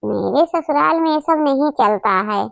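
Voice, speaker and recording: A woman's voice: high voice, animated, moderate pace, creaky, dry recording. A woman voicing a snobbish, boastful young married daughter in a cartoon, haughty and disdainful. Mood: haughty disgust.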